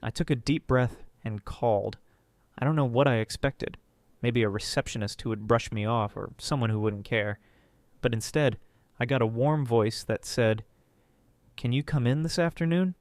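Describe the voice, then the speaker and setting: A man narrating a first-person family drama story in an earnest, measured way with dramatic pauses. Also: mid-range voice, dry recording, clean studio sound.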